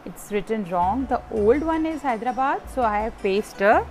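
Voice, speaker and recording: A woman's voice: a little high, lively intonation, normal speed, faint music behind. A woman teaching young children in an online class. She reads from a textbook and explains it patiently, step by step.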